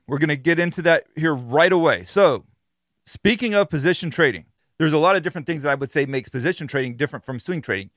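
A man's voice: mid-range voice, fairly bright tone, no echo. A man hosting a podcast, explaining things in an informal, conversational monologue on a close mic. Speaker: podcast host.

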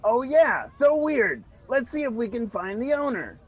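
A man voicing an eager, cute creature who co-hosts a comedic radio broadcast in an animated manner. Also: very high-pitched, measured pace, breathy edge, heavily acted, poor audio. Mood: bright, curious.

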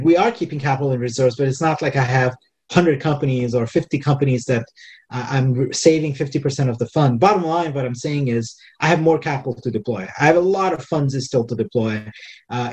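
A man's voice: mid-range voice, a little mellow. A man whose first language is Hindi, a venture investor answering podcast interview questions in a thoughtful way, with many fillers and restarts. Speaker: venture capital investor, guest.

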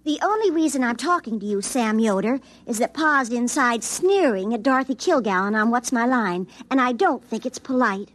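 An actress playing a young small-town sweetheart in a classic radio drama, prim, indignant and easily wounded. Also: female voice, on the high side, expressive, normal speed, hardly any pauses. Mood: prim, sulky.

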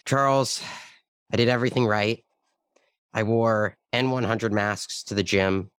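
A man's voice: on the low side, measured pace, a bit bright, clean tone, no echo. A man co-hosting a talk podcast, speaking with a mildly scratchy throat in a sardonic, casual way. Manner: sardonic, repeats words when starting. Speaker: podcast co-host, reporter.

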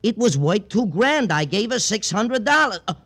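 Jumpy, swooping, fawning pawnbroker. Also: male voice, high voice, sing-song, moderate pace, fairly bright tone, full character voice. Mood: exasperated, pleading. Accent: American. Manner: stammering, oily, wheedling. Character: nervous, fawning, tight-fisted male pawnshop owner. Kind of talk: 1950s radio crime drama acting.

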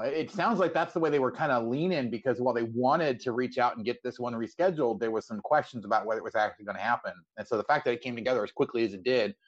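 A man's voice: mid-range voice, muffled recording. A man hosting a casual sports interview podcast, stammering his way into long, wordy questions.

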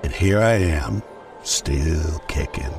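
An actor playing a cool, menacing, cigar-smoking cyborg mercenary in a radio drama, mocking and casually threatening. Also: male voice, rumbling low, takes it slowly, far from the mic, music in the background, heavily acted. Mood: coolly taunting.

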